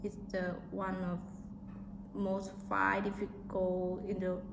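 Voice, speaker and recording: A young woman from Vietnam speaks slowly and haltingly, pausing often to find her words. Her pitch sits in a middle range. The room adds echo, and background noise runs underneath. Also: completely flat delivery, gravelly. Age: young adult. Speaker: interviewed student. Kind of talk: casual interview answers. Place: indoor studio room with a cartoon backdrop. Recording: echoey room with noisy background.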